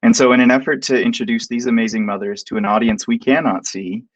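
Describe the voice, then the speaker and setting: A man from Montana who is a professor, giving a heartfelt, measured introduction that he reads from a prepared script over a video call. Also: mid-range voice, steady tone, dry recording, muffled recording. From Montana, United States. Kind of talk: prepared introduction read aloud.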